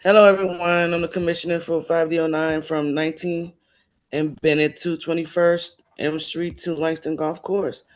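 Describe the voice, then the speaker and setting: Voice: medium pitch, little pitch movement, normal speed. A neighborhood commissioner giving a brief, informal report at a public meeting.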